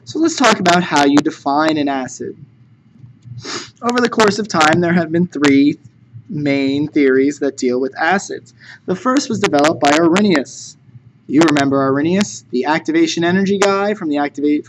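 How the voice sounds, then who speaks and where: A man's voice: a little high, normal speed. A man teaching chemistry in a recorded lecture, conversational and jokey. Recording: noticeable background noise.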